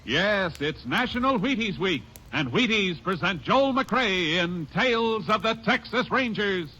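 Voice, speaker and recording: A man's voice: high voice, animated, a little slow, loud music behind the voice. A man giving formal, declamatory announcements in a 1940s radio broadcast. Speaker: show announcer.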